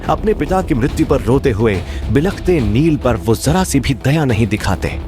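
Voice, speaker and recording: A man's voice: mid-range voice, animated, normal speed. A man narrating a fantasy audio drama in a dramatic, scripted storytelling style. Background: background music.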